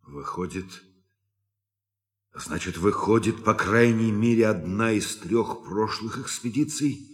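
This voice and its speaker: A man's voice: lowish voice, slow. A man acting a stern, authoritative spaceship captain in a theatrical audio drama. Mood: grave, stunned. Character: commanding male spaceship captain, stern, questioning. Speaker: actor in audio play.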